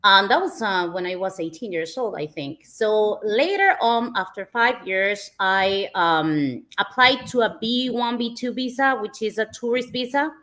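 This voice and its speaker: A woman's voice: mid-range voice, lively intonation, a little slow, hardly any pauses, fairly bright tone. A woman from Peru whose first language is Spanish, telling her own story as a guest in a friendly, eager way. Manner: friendly and eager, many fillers.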